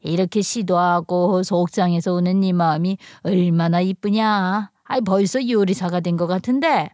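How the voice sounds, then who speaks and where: A woman voicing a gentle, encouraging elderly country grandmother in a soothing, tender, unhurried way. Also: fairly low pitch, fairly even intonation, dry recording, clean studio sound, lightly acted.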